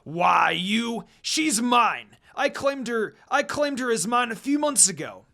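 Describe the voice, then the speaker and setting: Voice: mid-range voice, lively intonation, takes it slowly, vocal fry, sharp tone, voice pushed up, full character voice. A reader voicing a brash, possessive and jealous young male wolf demon in a fan fiction story. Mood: indignant, possessive.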